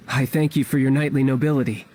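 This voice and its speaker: A man playing a charming, sly young villainous diplomat in a video game, breezy, teasing and smoothly persuasive. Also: mid-range voice, fairly even intonation, normal speed, darkish tone, slight background noise, lightly acted. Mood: reassuring. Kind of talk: video game character acting. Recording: music behind the voice. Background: game music.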